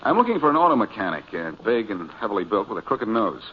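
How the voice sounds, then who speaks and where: A confident, quipping detective from 1940s radio drama. His voice sits low and moves with plenty of swing. The old recording is dull, with clear background noise. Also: big pitch swings, fast. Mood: wry, probing. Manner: wry, smooth, quipping. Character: wisecracking, confident male amateur detective.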